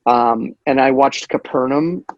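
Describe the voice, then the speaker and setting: A filmmaker man answering questions in a halting way, with many repeats and fillers. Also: medium pitch, dull audio, noisy background. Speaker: short filmmaker. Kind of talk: casual interview answer.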